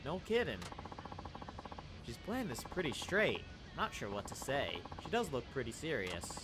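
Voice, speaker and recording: A man's voice: mid-range voice, huge pitch swings, a little slow. A man reading aloud the lines of a stoic middle-aged male ex-yakuza taxi driver, with dry, wry asides, over game music.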